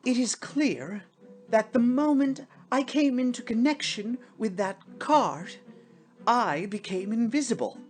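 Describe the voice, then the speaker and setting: A woman voicing a fussy, bewildered, stammering gentleman ghost in a storybook reading. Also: fairly high pitch, expressive, unhurried, soft background music, pitched above the performer's own voice. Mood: intrigued. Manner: stammering, flustered, prone to exclaim. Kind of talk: theatrical storybook character voice.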